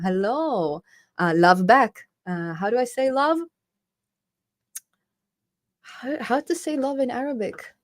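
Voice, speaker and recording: A woman's voice: mid-range voice, no room sound, studio quality. A young woman in her twenties hosting a live stream, chatting casually and upbeat as she reads out viewer comments, laughing often.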